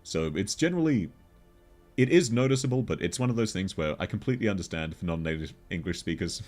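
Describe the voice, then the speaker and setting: Male game streamer, Australian accent, low and animated, laughs a lot while reading chat, soft music in the background. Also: fast. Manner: chatty, laughs often, answers chat while playing. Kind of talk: casual livestream chat with viewers.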